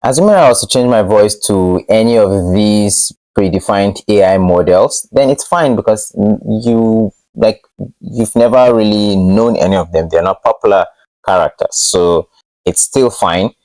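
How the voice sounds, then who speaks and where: Low-voiced man presenting a tech tutorial. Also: unhurried, darkish tone, dry recording, rough recording. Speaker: host of a tech tutorial channel. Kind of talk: casual screen-share tutorial narration.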